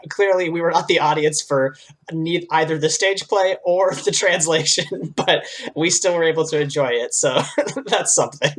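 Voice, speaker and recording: Female podcast co-host with a notably low voice, informal, slangy, free with swearing. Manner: slangy, swears freely, says "like" constantly. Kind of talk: casual pop culture podcast chat.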